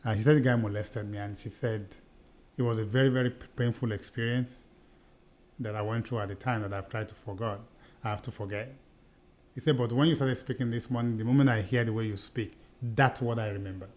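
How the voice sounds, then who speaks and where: A man's voice: lowish voice, steady tone, fairly quick, darkish tone. A middle-aged man with a Nigerian accent who grew up in Nigeria. He co-hosts a podcast and tells stories earnestly, often repeating and restarting his phrases. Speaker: podcast co-host. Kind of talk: conversational podcast discussion.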